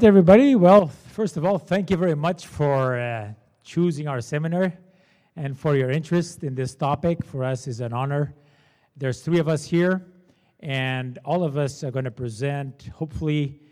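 A Portuguese-accented man in his forties talks a seminar audience through the plan in a loose, chatty way, often checking in with them. His voice is smooth and clear, a touch higher than most men's. The sound carries a long hall reverb. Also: moderate pace, warm tone. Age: 40s. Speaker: seminar presenter. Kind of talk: informal seminar talk. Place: convention seminar room.

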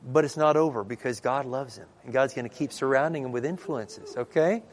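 A middle-aged man, a pastor, telling stories in a sermon and acting out the dialogue in a lively way. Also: medium pitch, fairly quick. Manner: lively storyteller who acts out dialogue. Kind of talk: storytelling sermon. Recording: long reverb in a large hall.

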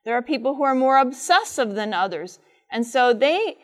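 A middle-aged woman speaks at a live conference with a loud, fairly high voice. She keeps turning to the audience with questions and pushes them to answer. Her delivery is a teacher's, direct and projected. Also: big pitch swings, normal speed. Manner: asks the audience frequent questions; says she has a loud voice. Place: large conference room with air conditioner.

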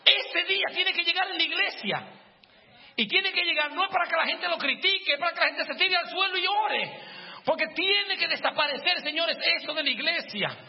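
A male pastor giving a fervent sermon in a church, at times rising to shouts. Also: very high voice, expressive, fairly quick. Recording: long church reverb, dull lo-fi, faint music.

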